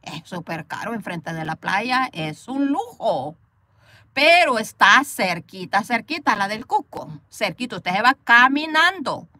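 Chatty high-voiced Salvadoran woman. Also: sing-song, measured pace, pushed and bright. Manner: chatty, playful, laughs often, talks back to commenters. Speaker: livestream host reading viewer comments. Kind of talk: casual chat with live viewers. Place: inside a parked car.

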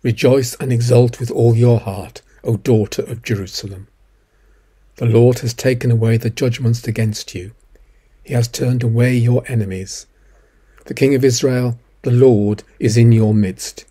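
A man reading scripture aloud in a measured, declarative manner. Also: medium pitch, steady tone, dark tone, husky. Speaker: scripture reader.

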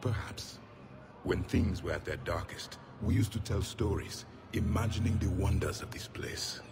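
A stern, scornful ancient alien warrior in a game cutscene. His low voice is grave and measured, with long reverb and a clear music bed behind. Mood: wistful. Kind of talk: video game cutscene dialogue.

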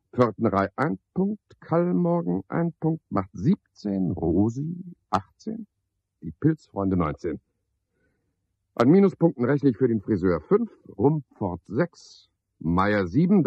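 Radio drama night porter: husky male, sardonic, clipped, muttering to himself, roomy slightly muffled sound. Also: low-pitched, full character voice. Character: cynical, chatty night porter, muttering grim calculations. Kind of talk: 1970s German radio play monologue.

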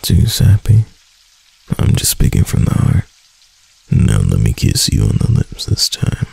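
A young man voicing a doting, clingy husband in a soft, intimate ASMR roleplay. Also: a bass voice, wildly swooping pitch, measured pace, gravelly, breathy edge, heavily acted, poor audio. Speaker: voice actor.

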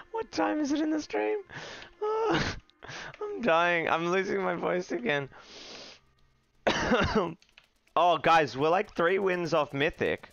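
A young man streaming a card game from a small room, swearing freely and reacting loudly to each play. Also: high-pitched, slow pace, creaky, long pauses, dry recording, dull audio. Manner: profane, excitable, shouting when upset. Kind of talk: live game stream commentary.

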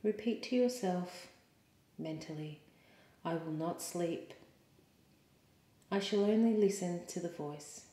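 A woman yoga teacher leading a guided meditation in a calm, soothing, measured way with pauses. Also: fairly low pitch. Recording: roomy, echoing sound.

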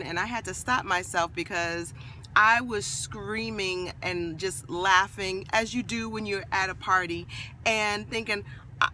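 Woman, 40s, raspy, hoarse, chatty vlog, very unhurried delivery, phone mic at arm's length. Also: medium pitch, bright, forceful tone, a little hiss or hum. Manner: chatty and upbeat, drawn-out phrasing. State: hoarse from a night of laughing. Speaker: vlogger. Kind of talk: casual vlog to camera. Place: parked car, then an office. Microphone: phone camera at arm's length.

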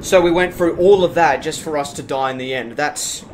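A man's voice: fairly high pitch, moderate pace, rough recording. A young man with an Australian accent commentating on a horror game, exasperated and sarcastic, often swearing and repeating himself. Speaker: gaming YouTuber. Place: small room at home. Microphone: desk microphone on boom arm, headphones on. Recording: loud game audio over the voice. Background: loud game music and machinery sounds.

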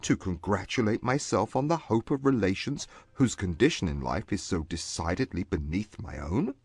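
Haughty period gentleman, male actor, wounded pride, formal audiobook delivery, animated and rough-edged. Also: fairly low pitch, normal speed, dry recording. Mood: indignant. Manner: formal, clipped, emphatic period diction. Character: proud, wounded young gentleman suitor, haughty and indignant. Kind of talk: dramatised audiobook acting.

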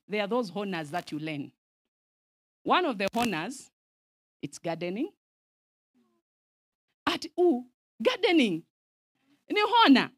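A woman from Zambia in her thirties teaches a camp meeting from a large outdoor tent, her slightly high voice rising and falling with wide swings. She slips Swahili phrases into her English and keeps asking the crowd to answer back. The handheld mic sounds close and dry. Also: no echo. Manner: animated, mixes in Swahili phrases, asks audience for responses. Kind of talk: lively sermon-style teaching. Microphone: handheld microphone.

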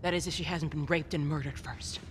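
A woman playing a grave, persuasive resistance leader with a breathy voice in a video game. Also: deep voice, fairly even intonation, brisk, a little hiss or hum, lightly acted. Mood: grim, urgent. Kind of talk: cinematic video game acting.

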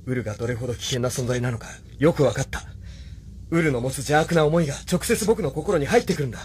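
An actor playing an earnest, intense young mecha pilot hero in a Japanese radio drama, with dramatic delivery. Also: male voice, mid-range voice, fast, a little mellow, husky. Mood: grave resolve.

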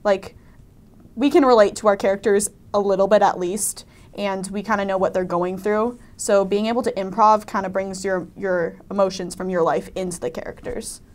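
A woman's voice: medium pitch, fairly even intonation, normal speed. A teenage woman, a student actor, answering casually and informally in a panel interview. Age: teens. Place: small indoor studio. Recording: faint background room noise.

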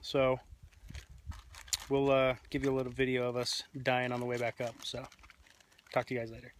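A man's voice: medium pitch, monotone, moderate pace. A man in his thirties hosts a casual outdoor vlog. He speaks informally and jokes as he goes, holding the camera at arm's length. There is light outdoor noise on the recording. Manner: jokey, informal. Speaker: family travel vlog host, father. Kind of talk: casual vlog narration while hiking. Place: outdoors on a canyon hiking trail. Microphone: handheld camera at arm's length.

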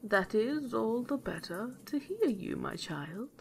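A woman's voice: fairly high pitch, animated, creaky, slight background noise, exaggerated voice. A woman voicing a sly, hungry male wolf in a storybook tale, with coaxing, predatory speech. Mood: softly coaxing. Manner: softened, coaxing, drawn-out speech.

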